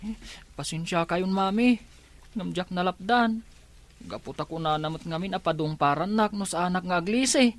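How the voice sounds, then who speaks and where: A man's voice: sits high, moderate pace, lightly acted. A man playing a respectful, concerned young son in a drama, with a Filipino accent. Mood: apologetic, worried. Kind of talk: Ilocano radio drama acting.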